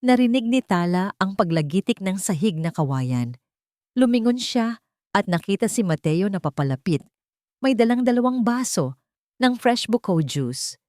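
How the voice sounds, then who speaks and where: A woman's voice: medium pitch, lively intonation, moderate pace, a little mellow, no echo, studio quality. A woman reading a scripted romance story as its narrator.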